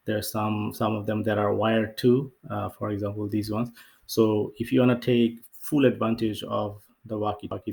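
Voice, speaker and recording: A man presenting a software tutorial as a casual, unscripted screen-share walkthrough, explaining in a hesitant, rambling way. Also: lowish voice, creaky. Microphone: off-camera voice-over for screen recording.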